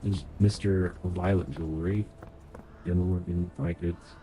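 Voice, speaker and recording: A man's voice: deep voice, steady tone, moderate pace, warm tone, a little hiss or hum, rough recording. A young man streaming a game playthrough, thinking aloud as he plays, sounding nasal because he is sick. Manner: chatty, thinks aloud while playing. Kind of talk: live game playthrough commentary.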